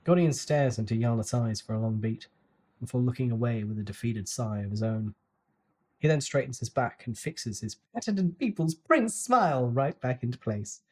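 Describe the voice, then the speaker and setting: Mid-pitched storybook narrator. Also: animated, normal speed, a little mellow. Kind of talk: dramatic novel reading.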